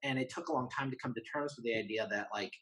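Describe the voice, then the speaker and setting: A man talking candidly and casually as a podcast guest, earnest and halting, often voicing quoted asides. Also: mid-range voice, fairly bright tone.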